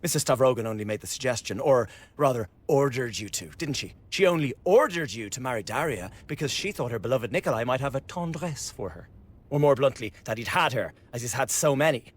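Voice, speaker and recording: An actor playing a spiteful, mocking young revolutionary son in a radio drama, sneering and taunting. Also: male voice, medium pitch, expressive, brisk, close to an everyday voice.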